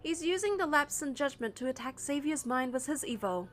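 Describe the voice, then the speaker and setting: A woman narrator reading a first-person story from a script in an expressive, suspenseful, dramatic way. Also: high-pitched, fairly quick, faint music behind.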